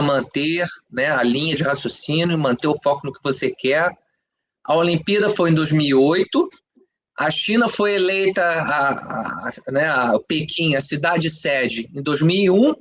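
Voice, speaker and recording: Opinionated Brazilian man, slightly high voice. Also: fairly bright tone. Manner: conversational, frequent "entendeu?" and "né?" tags; emphatic, rhetorical questions. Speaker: podcast co-host. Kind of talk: opinionated casual talk.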